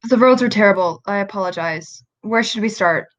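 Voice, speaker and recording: A woman's voice: mid-range voice, fairly quick, highs cut off, close to an everyday voice. A woman acting a driven, defensive scientist in an amateur radio play, insistent and formal. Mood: apologetic, dutiful.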